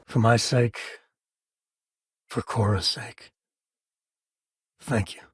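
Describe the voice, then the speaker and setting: A husky, easygoing space-frontier ranger. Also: male voice, mid-range voice, steady tone, extremely slow, no room sound, clean studio sound, full character voice. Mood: reflective. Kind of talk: video game voice acting.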